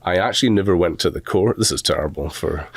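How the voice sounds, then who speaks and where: A middle-aged man with a softened Scottish accent, an animation instructor telling rambling, self-deprecating stories in a relaxed interview. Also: sits low, fast talker, breathy edge, dry recording. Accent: Scottish, softened toward American. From Scotland.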